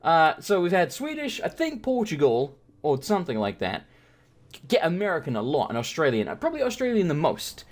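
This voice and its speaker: A man's voice: a little high, a little fast. A British man hosting a gameplay video, joking, swearing and telling rambling stories as he plays. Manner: profane, joking, animated storytelling. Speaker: gaming video host. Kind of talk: let's play commentary, rambling anecdotes.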